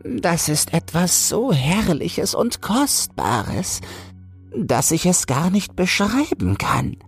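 Storybook old mother toad, played by a male narrator: proud, cautioning, very animated pitch, unhurried. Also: gravelly, faint music behind, heavily acted. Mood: proud, secretive.

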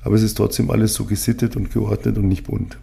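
A man's voice: lowish voice, fast, creaky, breathy edge. A man, hosting a casual solo podcast and rambling with many fillers. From Munich, Germany. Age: 50s. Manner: rambling, frequent fillers, relaxed. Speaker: podcast host, agency owner.

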